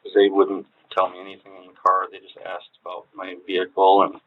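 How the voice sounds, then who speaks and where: Deep-voiced halting man on tinny tape. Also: completely flat delivery, a little hiss or hum. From Hawaii. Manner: hesitant, halting, many fillers. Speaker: interrogation subject. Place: police interview room. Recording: tinny low-fidelity room recording.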